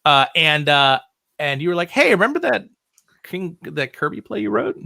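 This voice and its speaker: A man chatting casually as a guest writer in an interview, wry and joking with a halting flow. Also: on the high side, big pitch swings, vocal fry, sharp tone, no echo, clean studio sound.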